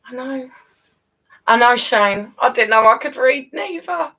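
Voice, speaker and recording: British woman, very animated, chatting to viewers. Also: a little high, unhurried, distant mic, poor audio. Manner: affectionate, encouraging, calls listeners darling and guys. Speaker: storyteller hosting a live stream. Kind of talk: casual live-stream chat to viewers.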